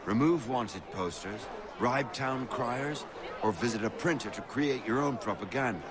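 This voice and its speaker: An actor playing a courteous, wry mentor in a video game, speaking in a formal, eloquent, instructive way. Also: male voice, medium pitch, measured pace, a crowd in the background, lightly acted.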